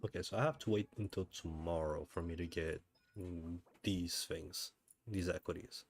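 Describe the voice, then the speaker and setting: A man with a low voice streams a video game. He chats casually, half to himself, in an offhand way. He often repeats his own phrases as he goes. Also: steady tone, normal speed, creaky. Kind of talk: casual gameplay commentary.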